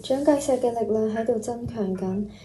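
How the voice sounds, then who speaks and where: Woman playing worried little sister, high soft-toned mellow voice, pleading, radio play. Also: moderate pace, lightly acted. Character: anxious caring younger sister of a general. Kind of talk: amateur Cantonese radio drama acting.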